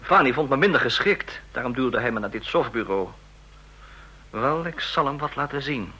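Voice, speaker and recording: Jealous young agent, bright husky voice. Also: male voice, medium pitch, animated, normal speed, full character voice. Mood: restless, frustrated. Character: jealous, ambitious young male counter-espionage agent in love. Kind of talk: Dutch radio drama acting.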